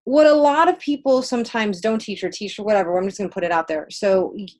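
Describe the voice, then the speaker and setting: A woman whose first language is Spanish, co-hosting a casual spiritual livestream and giving readings in an emphatic, conversational way with pauses for effect. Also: mid-range voice, darkish tone. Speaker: co-host of a spiritual healing livestream.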